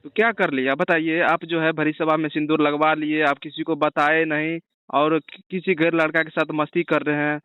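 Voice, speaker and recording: High-voiced man on a phone line. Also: little pitch movement, moderate pace, hardly any pauses, clean tone, no echo. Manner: accusing, badgering, blunt. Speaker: phone interviewer. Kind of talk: confrontational phone interview. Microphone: phone call. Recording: narrow tinny phone-line call.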